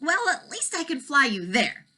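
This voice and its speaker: Woman doing a wailing high-pitched storybook dragon. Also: big pitch swings, moderate pace, bright, forceful tone, full character voice. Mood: quavering. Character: grateful, hungry young male dragon.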